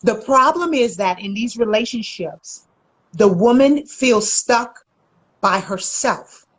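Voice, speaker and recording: A woman relationship coach in a casual conversation, loud, emphatic and blunt, swearing freely. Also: a little high, expressive, slow pace, slightly rough, poor audio.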